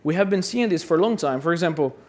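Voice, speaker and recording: A man's voice: on the high side, animated, fast. A man from Brazil giving a chatty, self-deprecating conference talk, often repeating words as he goes. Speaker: conference speaker. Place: conference hall.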